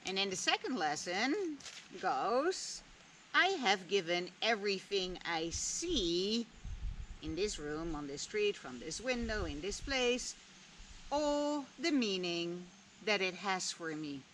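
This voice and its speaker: Slow, musing Dutch woman. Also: mid-range voice, a bit bright, slight background noise, rough recording. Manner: slow, deliberate, musing with long pauses; candid, drops casual swearing. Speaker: spiritual vlogger. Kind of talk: reflective personal talk.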